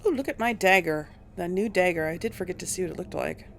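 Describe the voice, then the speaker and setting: A woman's voice: fairly low pitch, fast talker, a bit bright, a little hiss or hum. A woman giving casual commentary as she plays through a video game as host. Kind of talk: casual let's play commentary.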